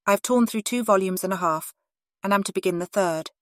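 A witty woman novelist from centuries ago reads from her own letters, as voiced by an actress. The delivery is a dramatized letter reading, with a mid-pitched voice in a dry, close recording. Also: brisk, dry recording, natural voice. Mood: composed, wry.

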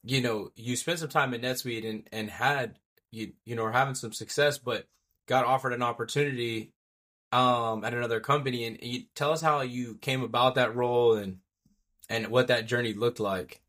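A man's voice: mid-range voice, fairly even intonation, moderate pace, fairly bright tone. A man hosting a podcast, chatting casually, affirming and summarizing his guest's points. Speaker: podcast host. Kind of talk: casual interview talk.

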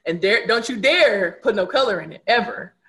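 A woman's voice: medium pitch, lively intonation, moderate pace, a bit bright. A young adult woman speaking candidly as a podcast guest, earnest and reflective, repeating words for emphasis. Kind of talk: candid conversational storytelling.

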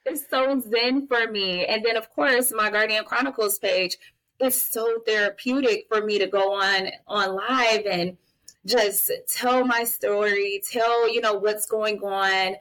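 A woman's voice: medium pitch, fairly even intonation, a little slow, a bit bright, rough recording. A woman in her late thirties speaking as a podcast guest, giving candid, emphatic personal advice straight to the listeners. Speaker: podcast guest, mental health advocate and guardian. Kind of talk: conversational interview, personal testimony and advice.

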